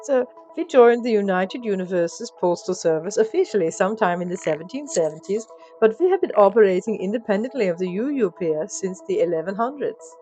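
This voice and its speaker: A woman's voice: mid-range voice, animated, fairly quick, dark tone, faint music behind, natural voice, low-quality recording. A woman voicing a giddy, eager, prim and chirpy male North Pole elf guide in a story. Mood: imperious. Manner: prim, chirpy, turns imperious.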